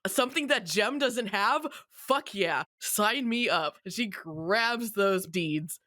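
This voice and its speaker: A podcast co-host chats casually in a close, dry recording. The delivery is sardonic and salty, at an even middle pitch, as if recapping a story among friends. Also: takes it slowly, dry recording. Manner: sardonic, freely swearing. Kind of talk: casual group recap chat.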